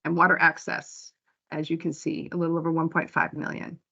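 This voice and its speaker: A woman's voice: sits low, level tone, fairly quick, creaky, sharp tone, breathy edge, highs cut off. A woman program director giving a practical, slide-by-slide financial report at a board meeting. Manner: plain, practical, walks through figures slide by slide.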